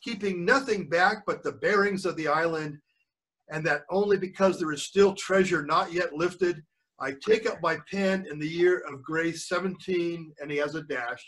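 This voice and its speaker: A man's voice: a little high, bright, forceful tone, rough recording. An older man reading a story aloud to children, stopping often to explain words and check they are following. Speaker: grandfather reading a book to children. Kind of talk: read-aloud storytelling with chatty asides to children. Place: video call with screen sharing.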